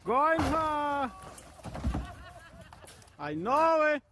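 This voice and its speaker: A man's voice: extremely high pitch, big pitch swings, very slow. A man making short, casual exclamations in a noisy video clip with clattering.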